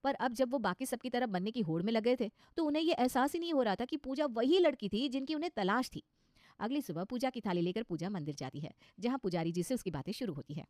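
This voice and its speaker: A woman's voice: fairly high pitch, expressive, fast, darkish tone, dry recording, studio quality. A woman narrating a scripted cartoon story as its storyteller.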